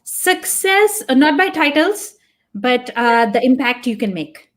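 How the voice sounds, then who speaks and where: Earnest, slightly high-voiced woman guest. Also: big pitch swings, a little slow. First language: Punjabi. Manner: earnest, reflective, thinks aloud. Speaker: podcast guest. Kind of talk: casual interview talk.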